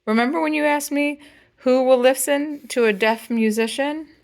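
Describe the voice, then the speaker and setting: A woman voicing a gentle male jazz pianist in simple storybook dialogue. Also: high-pitched, steady tone, normal speed, vocal fry, voice pushed up. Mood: warm.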